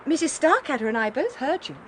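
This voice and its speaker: High-voiced prim young English lady. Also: sing-song, fast talker, slight background noise. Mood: flustered. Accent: upper-class southern English. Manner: crisp, prim, politely condescending. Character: brisk, well-bred young lady, practical and bossy. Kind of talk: radio drama acting.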